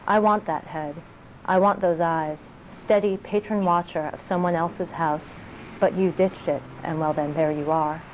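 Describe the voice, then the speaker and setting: A woman poet reading her work aloud in a measured delivery of short, clipped phrases. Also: lowish voice, steady tone, a little hiss or hum, poor audio. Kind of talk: poetry reading.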